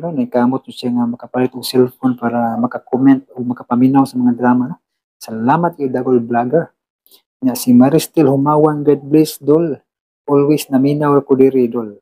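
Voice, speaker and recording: A man's voice: mid-range voice, dark tone, poor audio. A man hosting an online radio drama channel, chatting casually and informally to his listeners with frequent tag questions. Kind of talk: casual chat, reading and thanking listener comments.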